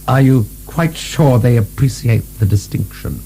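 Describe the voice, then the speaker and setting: An actor playing a provocative aristocratic philosopher in a radio drama, with an urbane, drily ironic, mock-deferential manner. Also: male voice, mid-range voice, animated, unhurried, creaky, dark tone, a little hiss or hum, poor audio. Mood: pointedly ironic. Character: provocative male aristocratic libertine philosopher, politely mocking. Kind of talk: British radio drama acting.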